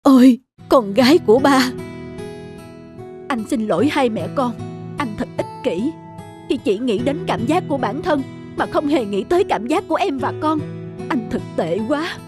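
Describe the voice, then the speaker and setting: A man voicing a gentle, despondent cartoon father who blames himself, with music under him. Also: very high voice, lively intonation, moderate pace. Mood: remorseful, tender. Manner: heavy-hearted, self-reproaching. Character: despondent, gentle painter father losing his sight. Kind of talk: dubbed cartoon dialogue.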